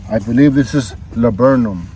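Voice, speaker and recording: A man's voice: medium pitch, normal speed, noisy background. A man hosting a casual unboxing vlog, chatting to viewers in a folksy, slangy, laid-back way. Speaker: vlog host.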